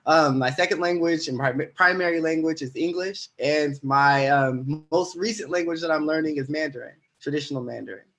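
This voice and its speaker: A man whose first language is Louisiana Creole introduces himself on a panel. He speaks in a slightly high voice and pauses often on ums. His manner is casual and a little hesitant. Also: fairly bright tone. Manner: hesitant, with many fillers.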